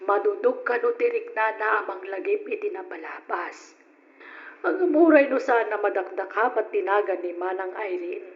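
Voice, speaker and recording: A woman's voice: medium pitch, normal speed, lightly acted. A woman playing a middle-aged mother in an old radio drama, motherly and scolding by turns. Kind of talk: 1940s-style radio drama acting. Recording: echoey, dull old radio recording.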